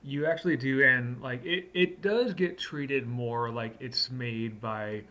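A man co-hosts a laid-back movie review chat at a middle pitch. His voice is very bright and sharp-edged. He wanders through his points with plenty of fillers. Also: takes it slowly. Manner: rambling, heavy on like and uh. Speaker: co-host. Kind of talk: casual chat.

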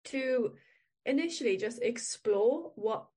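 Chatty Welsh woman, slightly high voice. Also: lively intonation, slow, mellow. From Wales. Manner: candid, chatty, laughs often. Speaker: podcast co-host. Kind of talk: casual chat.

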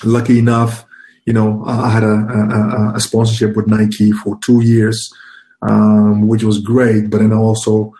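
A man in his forties from Ghana, a guest in an interview, answering in a halting way with many fillers and repeated words. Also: fairly low pitch, monotone, dark tone, soft background music. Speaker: guest, HR professional.

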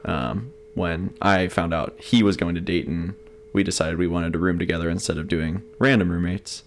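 Deep-voiced young man telling rambling stories. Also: normal speed, slight background noise. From Cincinnati area, USA. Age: young adult. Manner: rambling storyteller, restarts and laughs often. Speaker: podcast guest. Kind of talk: casual chat.

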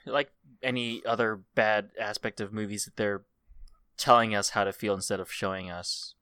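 A man's voice: fairly low pitch, steady tone, normal speed, sharp tone, clean tone, no room sound. A man co-hosting a casual conversation about movies. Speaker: film podcast co-host. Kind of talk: casual film discussion.

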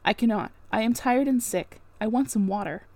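A woman reading a classic novel aloud as a weary, quiet young governess, restrained, polite and firm. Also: on the high side, normal speed, no echo, lightly acted. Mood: weary, sorrowful.

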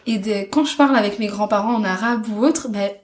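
Young woman, halting and thoughtful. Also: medium pitch. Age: 20s. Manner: halting, thoughtful, trails off with soft fillers. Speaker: interview guest, stay-at-home mother. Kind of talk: casual personal testimony.

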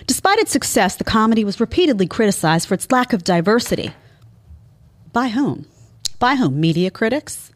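A woman's voice: on the high side, sing-song, moderate pace. A woman hosting an opinion show, delivering a sarcastic, incredulous, emphatic monologue. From Italian family background. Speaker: show host.

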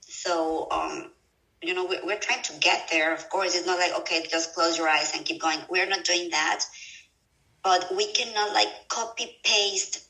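Gravelly woman, echoey room. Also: fairly low pitch, steady tone, bright, forceful tone. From Mexico. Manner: halting, restarts and repeats words often. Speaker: guest, mental health professional. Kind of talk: conversational interview answers. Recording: echoey room, mic at a distance.

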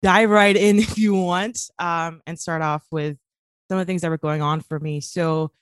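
A woman's voice: fairly low pitch, fairly even intonation, normal speed, clear, resonant tone, dry recording, studio quality. A woman in her late twenties who grew up in Kuwait, speaking as a podcast guest in an earnest, casual way with many fillers. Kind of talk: casual interview talk.

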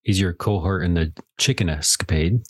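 Low, husky male podcast co-host. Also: moderate pace, no room sound, studio quality. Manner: easygoing, interjecting short agreements. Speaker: podcast co-host interviewing his father. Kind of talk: casual chat.

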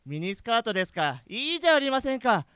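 A man acts a polite, unflappable older brother in a comedic radio play. The voice is high, bright and smooth. His manner is courteous and mild. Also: sing-song, fast, dry recording, clean studio sound, heavily acted. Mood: amused, courteous. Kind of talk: comedic radio drama acting.

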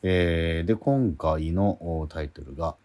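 A man hosting a radio show, chatting in a relaxed way with lots of quick backchannels. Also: sits low. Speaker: radio host. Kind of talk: casual chat.

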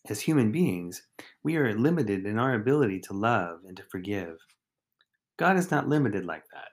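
A man reading a scripted daily devotional as a deacon and host, phrasing it deliberately in short, measured units. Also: lowish voice. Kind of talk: scripted devotional reflection, read aloud.

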